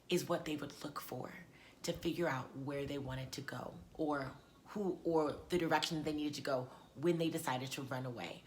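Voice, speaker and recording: A woman's voice: low-pitched, a little hiss or hum. A woman hosting a read-aloud lesson for children as a teacher, explaining things simply.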